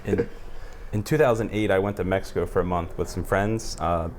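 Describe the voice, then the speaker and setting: Male American podcast host, fairly low voice, informal conversational delivery, a little noise in the recording. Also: fairly even intonation, a little fast, a little mellow. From United States. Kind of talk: casual chat.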